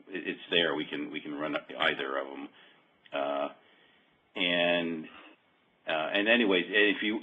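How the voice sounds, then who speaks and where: A very low-voiced man gives an informal software demo over a thin, phone-like conference line. He explains step by step in a halting way, often correcting himself. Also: husky. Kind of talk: informal technical walkthrough on a web meeting. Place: remote web conference call.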